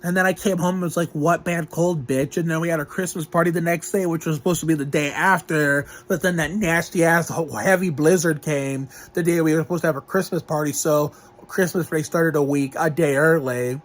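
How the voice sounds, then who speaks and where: A man's voice: on the high side, fairly even intonation, brisk. A young man from Northeast Ohio giving a rambling health update on his channel, recovering from a cold with a lingering cough, restarting sentences often and filling gaps with "you know" and "like". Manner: rambling, with frequent restarts and fillers. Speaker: vlogger.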